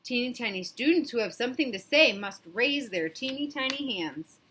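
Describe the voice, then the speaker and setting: A woman voicing a tiny schoolteacher from a children's storybook, patient but firm, with measured, expressive delivery. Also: a little high, a little hiss or hum.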